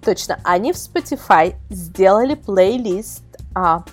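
A woman's voice: medium pitch, expressive, a little slow. Woman guest chatting and explaining stuff, feeling around for words, bit of music under her. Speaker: guest expert, web portal editor. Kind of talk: casual interview conversation.

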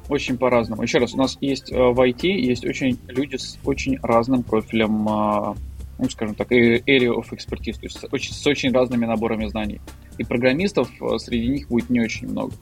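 Guy with a gravelly voice, guest on a panel, lots of ums and restarts, casual. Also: medium pitch, steady tone, a little fast, soft background music. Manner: hesitant, colloquial, many fillers and restarts. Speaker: guest expert; marketer.

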